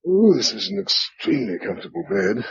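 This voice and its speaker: British radio drama survivor hero, clipped n earnest, very lively intonation, old muffled recording. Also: male voice, on the low side, brisk, gravelly, breathy edge, exaggerated voice. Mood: quiet, tender. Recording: dull, faintly noisy old radio recording.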